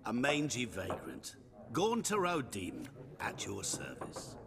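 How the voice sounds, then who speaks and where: An actor playing a sly, mock-humble vagrant merchant in a video game, florid and theatrical. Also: male voice, fairly low pitch, huge pitch swings, takes it slowly, full character voice. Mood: smug, mock-humble. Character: sly, ingratiating male vagrant merchant, secretly knowing. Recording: busy tavern chatter behind.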